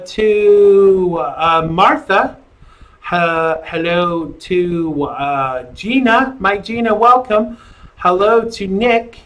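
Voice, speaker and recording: A middle-aged man hosting a live online talk show. His voice sits high for a man and he takes his time. He rambles and jokes and trails off into "uh" and "um" between thoughts. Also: fairly bright tone, rough recording. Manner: rambling and jokey, with many fillers.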